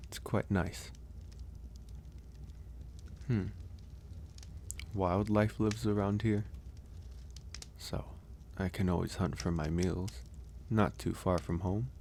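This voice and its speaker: Man playing a low, slow-spoken gentle young werewolf. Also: fairly even intonation, slight background noise. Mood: thoughtful, relaxed. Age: young adult. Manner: unhurried, hesitant, reassuring. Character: gentle young male werewolf, shy mountain recluse. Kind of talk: ASMR audio roleplay, soft intimate acting.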